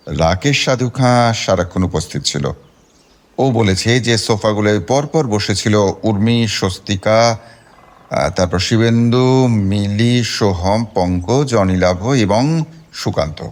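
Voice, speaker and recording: An actor playing a cooperative police officer who gives measured, pause-filled case briefings in an audio detective drama. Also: male voice, on the low side, expressive. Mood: observant, deliberate. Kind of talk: Bengali radio detective drama acting.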